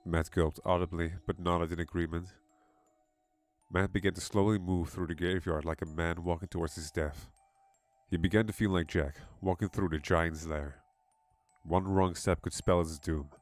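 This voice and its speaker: A man's voice: deep voice, steady tone, moderate pace, no echo, soft background music. A man reading a scripted horror story as its narrator.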